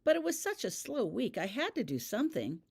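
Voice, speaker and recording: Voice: mid-range voice, wildly swooping pitch, fairly quick, vocal fry, slightly rough, voice pushed up, full character voice. A narrator voicing a sly, proud woman schemer who sounds defensive and haughty. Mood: guilty, flustered.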